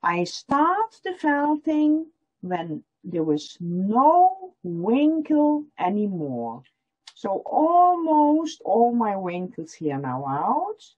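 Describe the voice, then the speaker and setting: Patient woman demonstrating a craft on a video call; slow, expressive delivery, dull audio. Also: a little high. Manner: explains step by step, repeating lines in German. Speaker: felting teacher. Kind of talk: online workshop demonstration.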